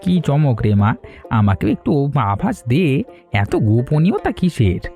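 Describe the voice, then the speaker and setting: A man's voice: mid-range voice, big pitch swings, a little slow, vocal fry, dark tone, soft background music. An actor voicing a hardworking, genial middle-aged fisherman father in a cartoon. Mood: teasing. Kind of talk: cartoon voice acting.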